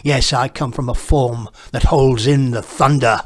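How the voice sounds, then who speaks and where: A man, reading his own poem in a measured, reflective way. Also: mid-range voice, fairly even intonation. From northern England. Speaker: poet. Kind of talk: poetry reading.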